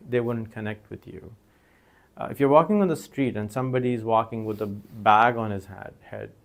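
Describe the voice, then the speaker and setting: A man whose first language is Urdu, teaching an informal recorded lecture in a conversational way, with many fillers and restarts. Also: medium pitch. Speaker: course instructor.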